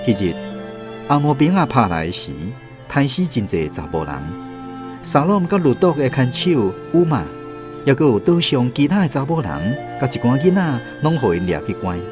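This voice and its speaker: A man's voice: medium pitch, very animated, normal speed, over music, close to an everyday voice. A man with a Taiwanese accent acting as the narrator of a historical radio drama. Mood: solemn narration. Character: storyteller narrator of a historical island tale.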